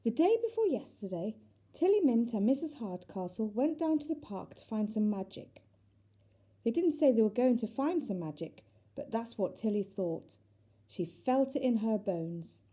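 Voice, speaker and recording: A woman narrating a children's storybook in an expressive, deliberate storytelling manner. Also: on the high side, breathy edge. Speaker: teacher reading aloud.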